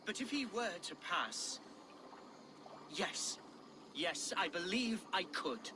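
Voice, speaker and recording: An actor playing an earnest, meek young nobleman in a video game, with a light voice and florid, apologetic, excitable manners. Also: sits high, takes it slowly, background noise, heavily acted. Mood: earnest, troubled. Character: earnest young exiled nobleman, meek amateur poet, eager and flustered. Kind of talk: scripted video game drama acting.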